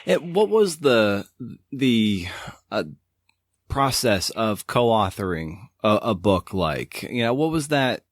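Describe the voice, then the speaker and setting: A man's voice: on the low side, big pitch swings, slow, dry recording. A man hosting a podcast, speaking close to the microphone. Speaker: podcast host, interviewer.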